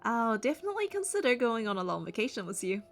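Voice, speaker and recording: A young adult woman reading game narration and dialogue aloud in an expressive storytelling style. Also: fairly high pitch, fast talker, faint music behind.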